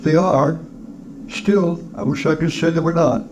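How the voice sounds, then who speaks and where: A man's voice: a little high, unhurried, darkish tone, pitched above the performer's own voice, natural voice, poor audio. A man puts on a polite charity collector from a storybook, in a raised voice. He is formal and earnest, hesitating and stammering deferentially. The recording has noticeable noise. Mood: sad.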